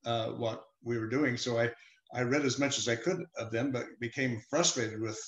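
An older man, a retired professor, answering interview questions in a measured, hesitant way with many pauses and fillers. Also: medium pitch, fairly bright tone, echoey room, dull audio. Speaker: emeritus professor, guest.

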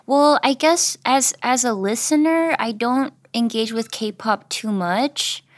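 A woman's voice: fairly high pitch, slow pace. A woman musician being interviewed, answering thoughtfully with many hesitations and ums. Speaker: guest musician.

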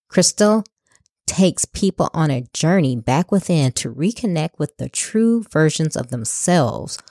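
A woman's voice: low voice, darkish tone, dry recording, clean studio sound. A woman hosting a podcast, reading an upbeat intro and interviewing a guest. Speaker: podcast host.

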